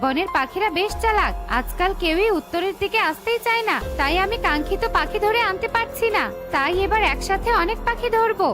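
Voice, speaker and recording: A woman's voice: very high voice, animated, brisk, music in the background, voice pushed up. A woman voicing a scheming, gloating wicked forest witch in a children's cartoon. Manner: gloating, menacing.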